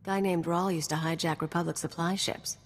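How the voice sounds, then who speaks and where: A woman's voice: lowish voice, fairly even intonation, a little fast. A woman playing a brash, scheming, vindictive mercenary in a video game, with a cocky, sardonic, slangy delivery. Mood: teasing. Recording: long reverb, music behind.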